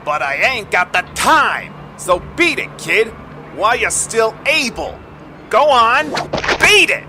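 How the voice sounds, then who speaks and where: Nasty young thug, taunting, high harsh forceful voice, drawn out, faint music. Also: male voice, sing-song, slow, exaggerated voice. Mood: angry, threatening. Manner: menacing, taunting, slangy. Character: cruel twenty-something male small-town bully and thug. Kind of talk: audio comic story acting.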